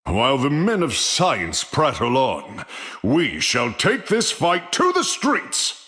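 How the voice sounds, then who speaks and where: An actor voicing a proud, pompous thunder god in a video game, with archaic formal speech. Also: male voice, mid-range voice, wildly swooping pitch, takes it slowly, pushed and bright, exaggerated voice. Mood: proud, resolute. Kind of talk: theatrical video game voice acting.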